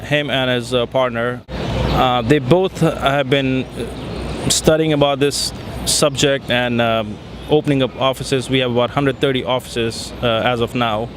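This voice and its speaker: A man in his thirties from India with an Indian accent, answering interview questions outdoors in a city square, pausing often with fillers. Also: mid-range voice, steady tone. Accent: Indian, Punjab. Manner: hesitant, many fillers. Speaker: interview guest. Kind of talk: casual interview. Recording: street traffic noise behind. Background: city traffic.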